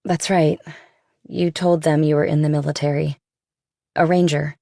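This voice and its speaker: A woman acting uneasy young office professional, lowish pitch, vocal fry, tentative, thriller audiobook. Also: flat delivery, normal speed, warm tone, no echo, clean studio sound. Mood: curious, probing. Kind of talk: audiobook character dialogue.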